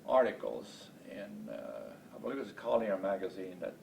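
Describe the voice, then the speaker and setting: A man in his late seventies from Estonia, a native Estonian speaker, recalling his life in an oral history interview in a halting, deliberate way with many hesitations. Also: very animated, vocal fry, darkish tone. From Estonia, later Baltimore, USA. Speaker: interview guest, space program veteran. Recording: distant mic, noisy rumbling background.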